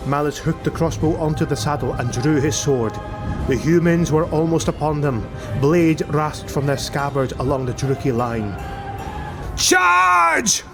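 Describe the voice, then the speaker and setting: A man with a slightly high voice narrates a fantasy battle story. His delivery is scripted and dramatic, and music plays clearly behind him throughout. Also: moderate pace. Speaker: audiobook narrator.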